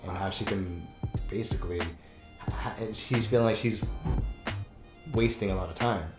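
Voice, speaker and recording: Animated rambling man in an echoey room. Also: on the low side, normal speed, over music. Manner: animated storyteller, laughs often, rambling restarts. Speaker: breakup coach guest. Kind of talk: casual podcast chat.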